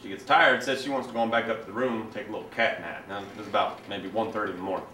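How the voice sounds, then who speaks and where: A man performing a dramatic monologue as a self-righteous, resentful young man, telling his story in a halting, colloquial, confiding way. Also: lowish voice, bright, forceful tone. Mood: casual, confiding. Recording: echoey room, distant mic, faint noise.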